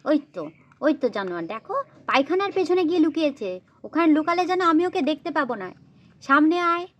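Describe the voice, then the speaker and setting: A high female voice as a woman acts out an exasperated mother in a homemade children's story. She nags and threatens, repeating her complaints about a naughty little daughter. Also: lively intonation, brisk, muffled recording. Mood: angry scolding. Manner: nagging, repetitive, threatening. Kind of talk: amateur children's play-acting story.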